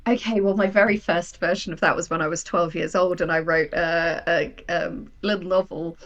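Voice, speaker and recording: A woman with a slightly low voice, talking casually as a guest in an interview. She tells personal stories with wry, self-deprecating emphasis, animated and conversational. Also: normal speed, lo-fi sound. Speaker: guest, writer of audio drama.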